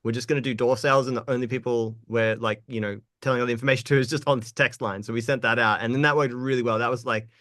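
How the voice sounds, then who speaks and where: A man's voice: mid-range voice, fast talker, hardly any pauses, dry recording, clean studio sound. A young man, a musician, casually rambling through stories in an interview with lots of filler words. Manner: relaxed, rambling, lots of likes. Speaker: guest musician.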